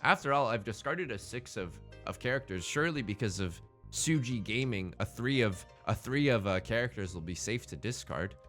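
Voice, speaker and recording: A man's voice: fairly low pitch, lively intonation, moderate pace, a bit bright, faint music behind. A man hosting a gaming let's play with casual, joking commentary, thinking aloud in a rambling, playfully dramatic and self-deprecating way. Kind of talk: casual running game commentary with joking banter.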